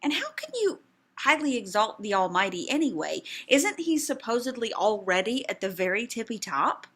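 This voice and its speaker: A woman's voice: fairly high pitch, big pitch swings, moderate pace, a bit bright, breathy edge, heavily acted. A woman voicing a skeptical, irreverent woman who delivers dry, mocking commentary. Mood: sarcastic.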